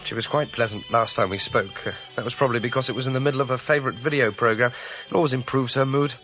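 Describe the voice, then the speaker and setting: An actor playing a curious, stubborn citizen with a British accent in a 1970s radio drama, dry and dismissive. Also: male voice, medium pitch, fast talker, close to an everyday voice. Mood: polite, casual. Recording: dull radio recording, faint music.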